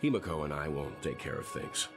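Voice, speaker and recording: A man voicing a calm, earnest, fatherly gentleman in a video game, grave and measured. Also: extremely low pitch. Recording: music under the voice.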